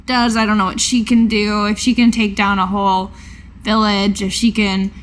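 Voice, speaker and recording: A woman talking as a player in a tabletop game, hesitant and deliberate, partly in character. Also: mid-range voice, little pitch movement. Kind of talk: in-character casual game discussion.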